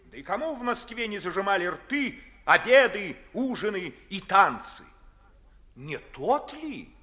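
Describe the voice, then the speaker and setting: A scornful young idealist of the classical stage. His voice is high, bright and forceful, rising and falling animatedly as he delivers biting, declamatory verse for a radio play. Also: heavily acted. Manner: declamatory, crisply rhetorical, biting. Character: proud, indignant young gentleman, eloquent scornful idealist. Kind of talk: 1950s Russian classical stage verse acting.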